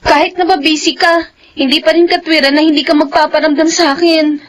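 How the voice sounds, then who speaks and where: A woman's voice: high voice, steady tone, fairly quick, close to an everyday voice. An actress playing a distraught pregnant young student in a radio drama, pleading and fearful, with music behind her. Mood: worried, reproachful. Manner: tearful, pleading.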